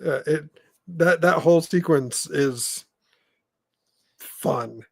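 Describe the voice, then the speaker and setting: A man with a moderately high voice hosts a podcast. He speaks in an informal, conversational way, as in a casual chat. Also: slow, vocal fry. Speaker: podcast host.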